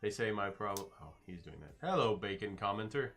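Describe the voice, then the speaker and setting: A man's voice: lowish voice, expressive, fairly quick, a bit bright, a little hiss or hum. A man streaming live, casually reading and answering his chat with loose, joking replies.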